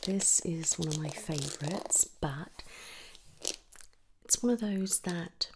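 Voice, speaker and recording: A woman speaks in a soft, breathy, half-whispered ASMR style, gently reassuring like an attentive make-up artist in a one-to-one roleplay, close to the microphone. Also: slow pace, background noise. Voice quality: breathy whisper mixed with soft low speech.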